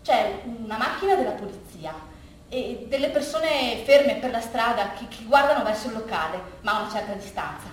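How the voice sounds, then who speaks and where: An echo-heavy, distant recording with faint noise carries a woman's high voice. She performs a storytelling monologue for the theatre. Her delivery is conversational and halting, with phrases often repeated. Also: lively intonation.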